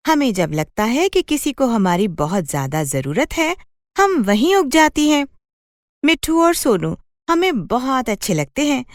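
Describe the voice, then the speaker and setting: A woman's voice: high-pitched, no room sound, clean studio sound. A woman voicing a kindly, cheerful magical talking pumpkin vine that pleads and reassures, in a children's cartoon story. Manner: pleading, reassuring, eager to help.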